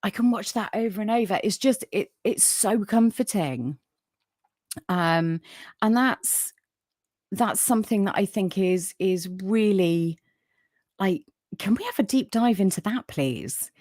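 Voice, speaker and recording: A woman's voice: medium pitch, expressive, a little slow, no room sound. Woman, livestream host, soothing voice, chatty, dry close mic. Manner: chatty, warm toward viewers, many fillers. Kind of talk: casual live chat reading viewer comments.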